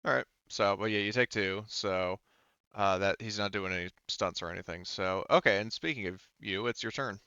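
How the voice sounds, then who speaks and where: A man with a smooth, clean voice runs a tabletop game, talking through rules and combat. He rambles in broken-off phrases, and the recording is dry and a little dull. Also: on the low side, normal speed, pushed and bright, no room sound. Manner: rambling, talks in broken-off phrases with frequent 'like' and 'you know'. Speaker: game master.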